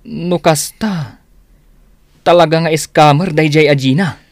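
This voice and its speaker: Blunt, loyal best friend in a radio play, mid pitch, dry booth sound. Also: male voice, a little slow, no room sound. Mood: advising. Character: young man, Tonton's loyal buddy.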